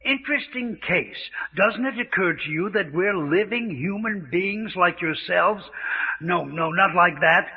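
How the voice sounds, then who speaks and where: A man's voice: sits high, expressive, measured pace, breathy edge, full character voice. An actor playing a hysterical, defiant male captive with formal, melodramatic diction, in old-time radio drama style. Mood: frantic defiance. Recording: dull, lo-fi radio drama recording.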